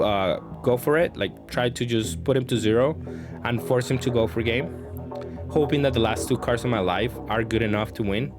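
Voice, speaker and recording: Male gameplay commentator, medium pitch, casual and hesitant, reasoning through moves over clearly audible music. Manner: hesitant, thinking aloud. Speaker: card game player commentating on own matches.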